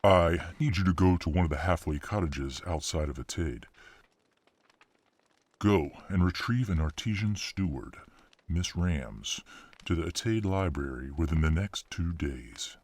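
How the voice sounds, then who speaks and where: A man playing a grave, courteous senior official in a fantasy audio drama, with a husky voice and a formal, guarded manner. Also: sits low, lively intonation, measured pace, darkish tone, dry recording, exaggerated voice.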